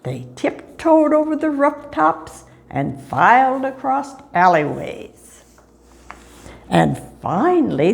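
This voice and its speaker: An older woman reading a children's story aloud in an expressive, deliberate storytelling manner. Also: on the high side, creaky. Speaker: storybook reader.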